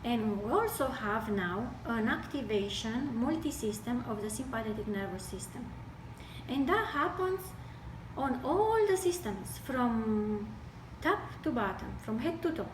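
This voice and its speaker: A woman with a Romanian accent explains health matters in a fairly high voice. Her phrasing is deliberate and broken into short pieces. She sounds some way from the mic in an echoey room. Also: expressive. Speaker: health speaker with medical training. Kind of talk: explanatory health talk to camera. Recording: distant mic, echoey room, noisy background.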